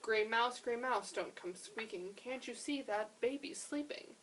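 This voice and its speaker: A woman's voice: on the high side, a little slow, fairly bright tone, slight background noise. A woman voicing a protective mother in a storybook lullaby, chanting her pleas in rhythm. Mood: chanting, pleading. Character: protective mother shushing animals in lullaby tale.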